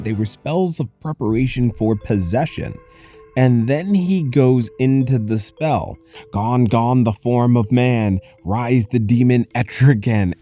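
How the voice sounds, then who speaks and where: A man hosting a casual commentary recap, telling the story in an animated, conversational way. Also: medium pitch. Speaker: comics recap host. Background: music.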